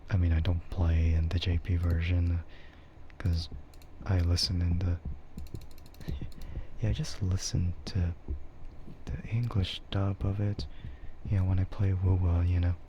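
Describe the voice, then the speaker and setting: A man chatting softly in a casual ASMR stream, often slipping toward a whisper, with a rambling, hesitant delivery. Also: sits low, little pitch movement, measured pace, muffled recording, background noise. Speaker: VTuber streamer. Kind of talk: soft ASMR casual chat.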